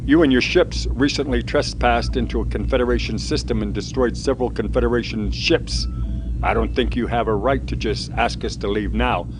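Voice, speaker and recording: A man's voice: medium pitch, moderate pace, background noise, lightly acted. An actor playing a male starship captain who gives curt, commanding orders. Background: music.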